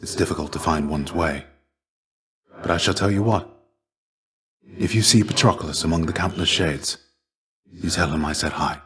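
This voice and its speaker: A low-pitched, world-weary warrior shade for a video game. He speaks with measured, archaic formality and theatrical weight. His temper is gentle and earnest, that of a fatherly old mentor guiding a younger pupil. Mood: wistful, gentle. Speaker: voice actor.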